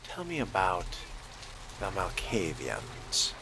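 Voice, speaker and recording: A man reading a fledgling vampire's questions in scripted role-play dialogue, in a measured, questioning manner. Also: low-pitched, huge pitch swings, creaky, background noise.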